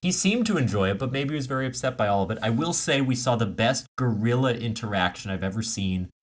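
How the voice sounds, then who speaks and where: A man co-hosting a podcast, telling stories in casual comedic chat with animated, self-mocking asides. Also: mid-range voice, quick pace. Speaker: podcast co-host.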